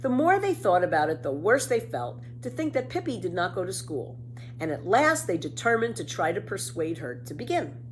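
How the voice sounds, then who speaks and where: A woman narrating a children's book expressively, with deliberate emphasis. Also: lowish voice, sing-song, a little hiss or hum, poor audio. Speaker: storybook reader.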